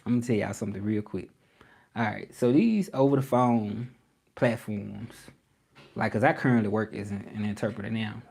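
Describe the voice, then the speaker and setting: A man's voice: lowish voice, normal speed, creaky, a little mellow, slightly rough. Man chatting casually to livestream viewers. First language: English. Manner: chatty, repetitive, addresses viewers as y'all. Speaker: livestreaming creator and language tutor.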